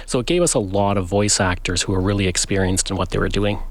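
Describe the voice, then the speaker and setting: A man's voice: fairly low pitch, quick pace. A man with a Canadian accent explaining history casually and informatively as a guest in a radio studio interview. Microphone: studio microphone.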